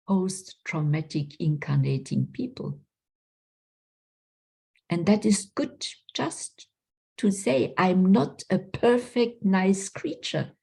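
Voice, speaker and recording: A woman speaker, answering a question slowly and emphatically in a spiritual talk over a video call. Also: medium pitch, creaky, warm tone, slightly rough. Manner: slow, emphatic, philosophical. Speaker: conference speaker.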